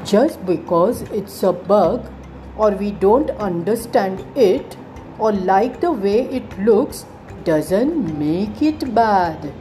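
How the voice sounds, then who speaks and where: A woman voicing a patient, kindly old grandfather who explains things calmly in a children's story. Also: medium pitch, slow pace, slight background noise. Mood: patient, instructive. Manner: calm, explanatory.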